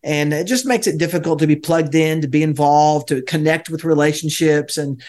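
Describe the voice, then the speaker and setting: An American man giving conversational interview answers as a guest, speaking in a halting way with many pauses. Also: fairly high pitch, a little mellow. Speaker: interview guest, volunteer church ministry leader.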